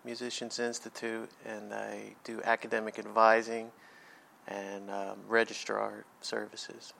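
Gravelly-voiced easygoing American man. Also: on the low side, completely flat delivery, bright, forceful tone. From New Jersey, USA. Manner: easygoing, measured, some hesitations. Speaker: guest. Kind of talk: casual interview answers.

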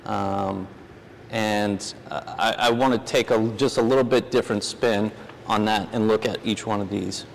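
Middle-aged American male Bible teacher, conversational and halting, recorded in a reverberant hall with background noise. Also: lowish voice, steady tone, creaky. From New Orleans, United States. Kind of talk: informal sermon with scripture reading. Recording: reverberant hall, noisy room ambience.

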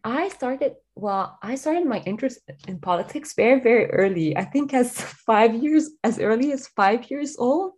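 A woman's voice: a little high, expressive, moderate pace, few pauses, darkish tone, husky. A woman from Lebanon, a guest on an interview podcast over a remote call, answering earnestly and enthusiastically with frequent restarts.

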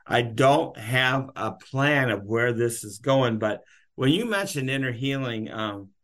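A man's voice: medium pitch, animated, unhurried, a bit bright. A man hosting a podcast interview, talking in a loose, rambling, unscripted way. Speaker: podcast host. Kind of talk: casual interview chat.

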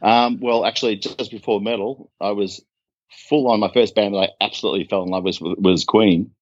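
Australian man, 50s, very deep voice, relaxed guest over a dull dry line. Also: fairly quick, dry recording. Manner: relaxed, laughs easily, trails off. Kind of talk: casual chat. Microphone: phone line.

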